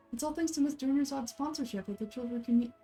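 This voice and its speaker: A gracious young noblewoman in a fantasy game, played by a woman. Her high voice is gentle and courteous. She explains things in a well-informed, polite way. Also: brisk, soft background music. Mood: pleased, informative.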